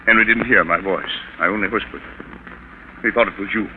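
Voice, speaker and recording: An actor playing a scheming, defensive doctor in a 1940s radio melodrama, emphatic and dramatic. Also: male voice, fairly low pitch, fairly quick, lightly acted. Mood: confessing. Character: scheming, defensive male European-educated doctor cornered as murderer. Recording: tinny, hissy 1940s radio recording.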